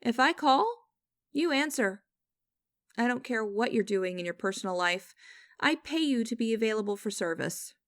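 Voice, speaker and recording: A woman playing a cold, condescending female boss in an ASMR audio roleplay, speaking to the listener in a deliberate, commanding, mocking way. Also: on the high side, lively intonation, dry recording, clean studio sound. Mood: stern, commanding. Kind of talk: ASMR roleplay acting, direct address to listener.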